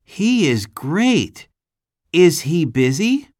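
A woman voicing a friendly young schoolboy from Japan, in a slow, carefully enunciated listening-test dialogue. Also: fairly low pitch, big pitch swings, darkish tone, dry recording, clean studio sound, heavily acted.

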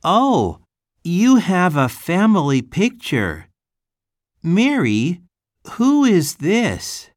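A friendly young schoolboy from Japan, read by a woman in a low voice, speaking in a lively up-and-down tone. Every word is spelled out at a very slow, deliberate pace for a listening test. The sound is dry and close. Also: dry recording, heavily acted. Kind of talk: slow, carefully enunciated test dialogue.

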